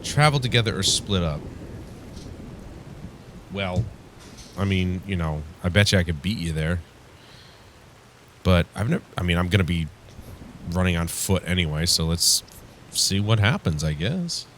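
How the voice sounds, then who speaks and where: Male game commentator, low register, stuffed-up strained voice, rambling wry delivery over background ambience. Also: lively intonation, unhurried, long pauses, fairly bright tone. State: congested from flu, strained voice from yelling. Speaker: gaming show host. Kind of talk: casual let's play commentary. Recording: clear background noise.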